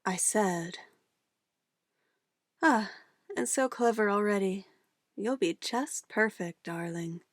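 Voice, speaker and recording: A woman's voice: mid-range voice, takes it slowly, pauses often, no echo, studio quality. A woman playing an obsessive, controlling witch in an ASMR roleplay, a sweetly menacing lover who moves between coaxing endearments and firm commands. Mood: possessive, controlled.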